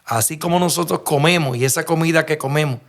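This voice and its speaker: Puerto Rican preacher, fairly high voice. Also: male voice, quick pace. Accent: Puerto Rican Spanish. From Puerto Rico. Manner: earnest storytelling, frequent restarts and rhetorical questions. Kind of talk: sermon.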